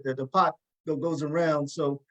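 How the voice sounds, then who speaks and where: Man, talk show host, fairly high pitch, casual, hesitant, repeats words, video call. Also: steady tone, moderate pace, fairly bright tone. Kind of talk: casual interview.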